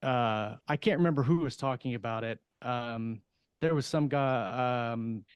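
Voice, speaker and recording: A man's voice: mid-range voice, unhurried, clean tone, dry recording, dull audio. A man with a clean, resonant voice recorded very dry. He talks casually as a podcast co-host, rambling a little as he works through his thoughts in a panel discussion.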